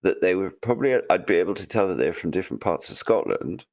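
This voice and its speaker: A man with a very deep voice, co-hosting a podcast. He talks casually and hesitantly, musing on ideas and restarting his thoughts. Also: lively intonation, fast talker, gravelly, darkish tone. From United Kingdom. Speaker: podcast co-host. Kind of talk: casual chat.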